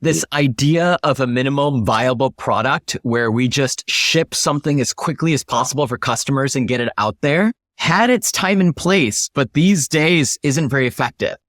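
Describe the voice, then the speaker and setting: A man's voice: medium pitch, normal speed, few pauses, a bit bright, no room sound, studio quality. A man speaking as an interview guest, explaining his ideas in an emphatic, instructive way. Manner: emphatic, instructive, illustrates points with acted-out example questions. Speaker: interview guest, startup founder.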